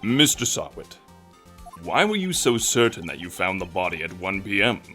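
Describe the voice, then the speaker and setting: A performer voicing a stern older male judge, formal and measured, in a fan-dubbed game. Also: sits low, very animated, pushed and bright, soft background music, heavily acted. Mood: skeptical. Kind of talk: fan-dub game voice acting.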